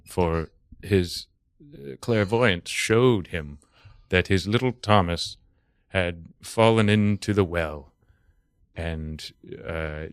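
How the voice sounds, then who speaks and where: A man narrating an improvised mock-literary comedy story in a solemn, deliberate, halting way. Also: low-pitched, no echo, studio quality.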